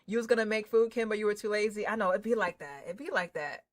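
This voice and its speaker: A woman livestreamer chatting casually with her viewers in an excitable, slangy, informal way. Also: mid-range voice, fast talker. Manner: excitable, hyped, effusive; slangy, informal, drops swearing in. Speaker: livestreamer hosting a game stream.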